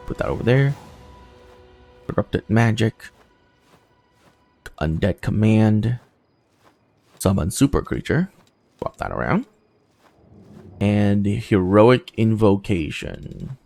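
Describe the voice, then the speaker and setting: A low-pitched man with a gravelly edge talks through a video game in a casual way. His delivery moves up and down freely as he thinks aloud, and music plays faintly behind him. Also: sing-song, takes it slowly, pauses often, mellow. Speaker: gaming video host. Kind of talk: casual playthrough commentary.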